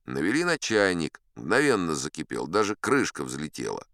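A man's voice: deep voice, sing-song, moderate pace, a bit bright, dry recording, clean studio sound. An actor playing a boastful old sea captain, telling his tall tales in a folksy, self-assured, conversational way. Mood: self-satisfied. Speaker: audiobook performer narrating in character. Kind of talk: dramatic first-person storytelling.

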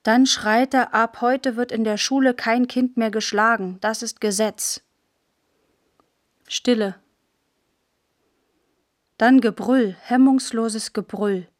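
A matter-of-fact girl narrator in a radio play. Her voice sits fairly high and close in a dry studio, and she speaks in short, observant sentences. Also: fairly even intonation, slow pace, long pauses, dry recording. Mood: intense. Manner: short, clipped declarative sentences.

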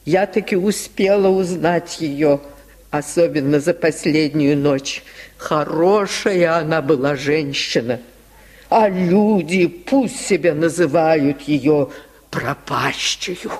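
A woman voicing a kindly, firm old working woman with a slow, earnest manner. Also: deep voice, a little mellow, big-room sound. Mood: firm, tender.

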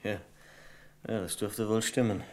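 An actor playing a mild, composed senior office employee in a German radio crime drama. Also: male voice, on the low side, steady tone, measured pace, darkish tone, natural voice. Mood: mild, resigned.

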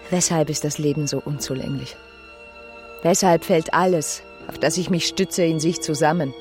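A woman's voice: low voice, steady tone, normal speed, a little mellow, faint music behind, natural voice. An actress playing a passionate, bitter young married woman who broods in a literary radio drama. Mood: melancholy. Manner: brooding inner monologue with emotional outbursts.

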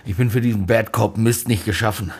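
An actor playing a blunt, embittered middle-aged police interrogator in a naturalistic radio drama, pressing hard in a husky voice. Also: male voice, fairly even intonation, fairly quick, darkish tone. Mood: frustrated. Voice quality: husky, low voice. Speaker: police detective.

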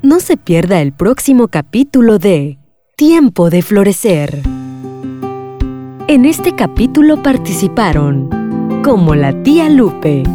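Lady announcer reading radio show credits, animated pitch, music underneath. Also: slow pace. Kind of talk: scripted credits narration. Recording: music behind the voice.

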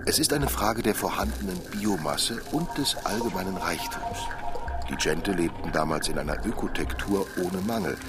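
A man playing a learned, patient and pedantic teacher in a German radio drama, lecturing with deliberate, professorial emphasis. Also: low voice, over loud music, close to an everyday voice. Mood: patient, didactic.

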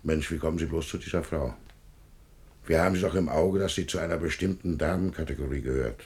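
Low-voiced, officious police inspector. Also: male voice, fast, a little mellow. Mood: stern, condescending. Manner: officious, insinuating, dryly condescending. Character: male police chief inspector, officious, sardonic, condescending. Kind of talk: German radio play acting.

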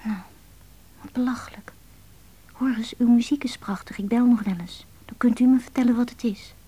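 An actress in a radio play playing a shy, hesitant woman who speaks softly and stammers on the phone. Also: on the high side, fairly even intonation, normal speed, slightly rough. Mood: nervous, admiring. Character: shy, hesitant woman phoning a stranger's answering machine. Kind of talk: naturalistic Dutch radio drama acting.

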